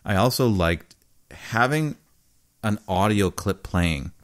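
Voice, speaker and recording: A man's voice: on the low side, lively intonation, slow. A man co-hosting a podcast, chatting casually with a playful, joking manner. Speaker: podcast co-host. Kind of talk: casual chat. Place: home basement.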